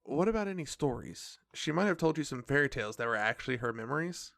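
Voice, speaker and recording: A man playing a calm, protective police officer in an audio drama, measured and reassuring, with a creaky voice. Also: mid-range voice, dry recording. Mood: calm, helpful. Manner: measured, reassuring, matter-of-fact.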